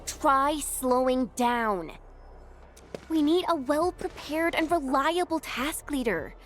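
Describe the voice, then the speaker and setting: A woman's voice: high voice, expressive, takes it slowly, a little hiss or hum. A woman playing a frank, caring young squadmate in a video game. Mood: firm, concerned.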